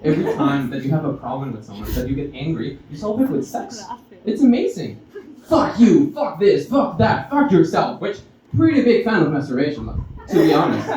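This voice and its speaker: A Mexican-accented man, native in Spanish, does amateur stand-up in a very echoey, noisy room. His fairly high voice swings up and down as he stops, restarts and plays with the audience. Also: very animated, brisk, darkish tone. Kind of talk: stand-up comedy with audience interaction. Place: live stage in a roomy venue. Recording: echoey noisy room, distant muffled pickup.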